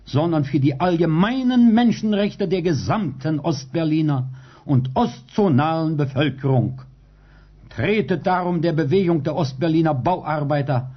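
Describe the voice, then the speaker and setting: Husky man on dull old radio. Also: on the high side. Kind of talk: 1950s radio appeal, formal political address. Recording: dull 1950s archive radio recording.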